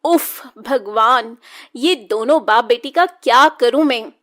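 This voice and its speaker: A woman's voice: on the high side, slow, a bit bright. A woman playing an exasperated but affectionate mother in a children's cartoon story, chiding and fond.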